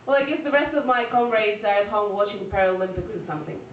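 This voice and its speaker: A woman, performing a deadpan stand-up set full of fillers and restarts, recorded live at a venue. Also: mid-range voice, fast talker, slight background noise. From Russia. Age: 20s. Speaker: stand-up comedian. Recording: echoey lo-fi room recording from far off.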